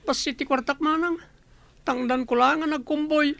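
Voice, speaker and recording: An actress playing an anxious, alarmed younger sibling in a radio drama. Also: female voice, high-pitched, steady tone, moderate pace, vocal fry, a bit bright, no room sound.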